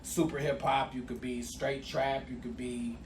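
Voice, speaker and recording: A middle-aged man hosting a podcast in relaxed, slangy casual chat. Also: mid-range voice, unhurried. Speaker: podcast host. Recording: echoey room from a distant mic, noisy.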